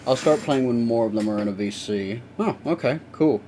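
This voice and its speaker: A man's voice: mid-range voice, normal speed, dark tone, a little hiss or hum. A young man chatting casually with viewers on a live stream while he works, rambling and swearing now and then.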